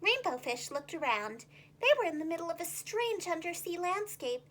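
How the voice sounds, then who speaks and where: A woman telling a children's story in an animated, expressive teacher's style. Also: very high voice, normal speed, a little hiss or hum.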